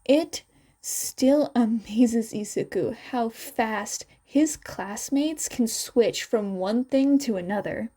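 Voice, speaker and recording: Slow, higher-pitched woman narrating a story. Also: warm tone. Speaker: podfic narrator and reader. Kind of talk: scripted fan fiction narration.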